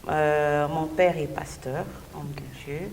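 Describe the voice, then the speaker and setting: Heard from off in an echoey hall, a woman from Belgium gives a personal testimony as an interview guest. Her voice is low and gravelly. She searches for her words as she goes, over clear background noise. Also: little pitch movement, slow pace, a little mellow. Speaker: gospel singer, interview guest. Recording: distant mic, echoey hall, noisy background.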